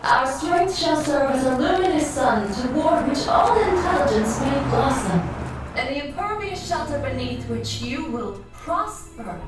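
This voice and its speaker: Casting: actress as menacing AI empress, high voice, drenched in distant echo, grand proclamations for science fiction audio drama. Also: female voice, expressive. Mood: grandiose. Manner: imperious, slow proclamation. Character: grandiose, menacing female artificial intelligence tyrant. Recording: heavily echoing, distant, loud music behind.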